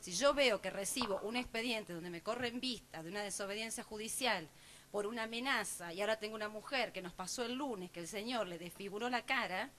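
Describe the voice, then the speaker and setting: A woman's voice: mid-range voice, fairly bright tone, a little hiss or hum. A woman, an assistant prosecutor, answering a panel in a formal job interview, explaining at length with many fillers.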